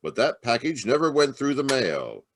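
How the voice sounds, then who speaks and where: A man's voice: medium pitch, sing-song, normal speed, slight background noise. A man playing a firm, shrewd police inspector in old-time radio mystery style. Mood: accusing. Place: live video call at home. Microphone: video call.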